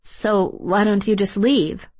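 A woman's voice: medium pitch, fairly even intonation, takes it slowly, a little mellow. A woman voicing a confused, indignant teenage girl in a storybook, blunt and questioning in casual modern speech.

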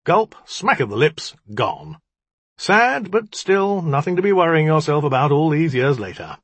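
Man voicing a sardonic, husky lioness jinni. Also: fairly high pitch, huge pitch swings, a little slow, a bit bright, no room sound, pitched above the performer's own voice, heavily acted. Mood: glib. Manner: sarcastic, colloquial, self-justifying. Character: sardonic, defiant jinni in warrior lioness form. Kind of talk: audiobook character dialogue.

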